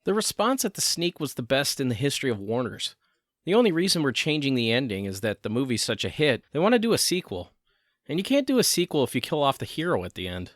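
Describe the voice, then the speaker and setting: A man's voice: medium pitch, big pitch swings, fast, no echo, studio quality, lightly acted. A man voicing a boastful, insistent film producer as he reads out a press quote. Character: brisk, boastful male film producer spinning bad news. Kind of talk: acted reading of a magazine quote.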